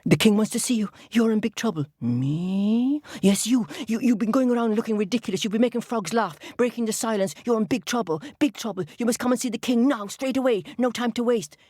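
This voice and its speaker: A woman voicing a busy dragonfly servant, brisk and scolding. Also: fairly high pitch, mellow, dry recording, studio quality, pitched above the performer's own voice, close to an everyday voice.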